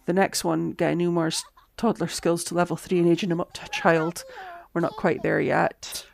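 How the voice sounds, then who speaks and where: A woman, chatting through a live-streamed game, a little hoarse and talking to her characters. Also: fairly low pitch, big pitch swings, moderate pace, a little hiss or hum. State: slightly hoarse, losing her voice. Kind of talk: live-stream gameplay commentary.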